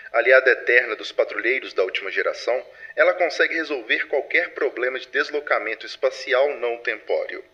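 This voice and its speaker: A man playing a formal, authoritative patrol leader who reads secret archive files in measured, solemn sci-fi audio drama narration. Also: mid-range voice, sharp tone.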